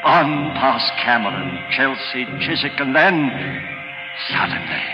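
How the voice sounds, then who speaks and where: A man's voice: mid-range voice, expressive, takes it slowly. An actor playing an older man in a 1940s radio thriller, speaking with urgent, dramatic delivery. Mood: dread. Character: elderly man, hunted by a scheming son-in-law. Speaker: protagonist and narrator. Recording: dull old radio broadcast with music.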